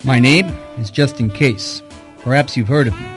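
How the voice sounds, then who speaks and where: A man's voice: medium pitch, normal speed, close to an everyday voice. Man playing a wry private detective over music. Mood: wry narration. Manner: wry, informal. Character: wisecracking private detective, uneasy among high society. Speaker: lead actor and narrator in a radio mystery. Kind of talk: hard-boiled detective radio drama.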